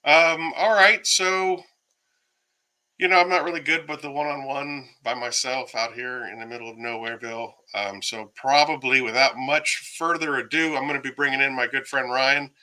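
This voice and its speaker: A man chats on a casual livestream, rambling through fillers and shouting out viewers. His voice is starting to go hoarse. The tone is strongly bright and pressed. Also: medium pitch, fairly even intonation, moderate pace, low-quality recording. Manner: rambling, many fillers, greets viewers by name. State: losing his voice. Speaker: livestream host. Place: home, by himself.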